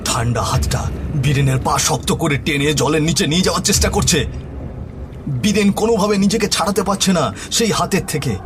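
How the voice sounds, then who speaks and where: A male narrator reads a scripted ghost story with drama. His voice is medium in pitch, and music plays clearly behind him.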